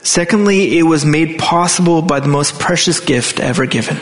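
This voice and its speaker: Higher-pitched American man preaching. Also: moderate pace. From United States. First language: English. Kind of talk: sermon delivered in short phrases for an interpreter.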